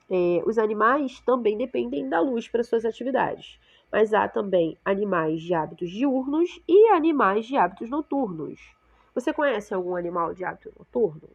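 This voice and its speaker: A woman's voice: mid-range voice, gravelly, a little mellow. Woman teacher, Brazilian Portuguese accent, stuffy nose from a cold, patient explaining, dull lo-fi recording. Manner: explanatory, checks in with frequent "tá?" and "né?". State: congested with a cold. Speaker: science teacher. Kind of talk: remote class lesson for sixth graders.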